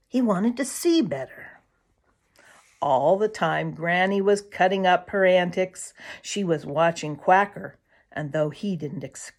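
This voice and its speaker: A woman reading a children's storybook aloud as a storyteller, with dramatic swells and emphatic pauses. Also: medium pitch. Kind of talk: expressive children's storybook narration.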